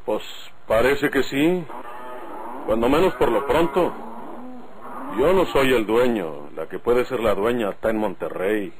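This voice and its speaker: A man's voice: mid-range voice, lively intonation, unhurried, natural voice. A man playing a wary ranch hand with a rural northern Mexican accent in an old radio drama, laconic and guarded. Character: wary, unhurried ranch hand, future bandit. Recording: loud noise, cattle, old radio serial.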